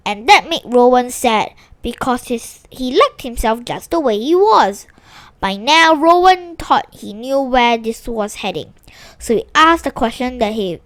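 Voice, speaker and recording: Animated woman reading a children's story aloud. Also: on the high side, no room sound. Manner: animated, with small stumbles and restarts while reading. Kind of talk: expressive read-aloud narration of a children's story.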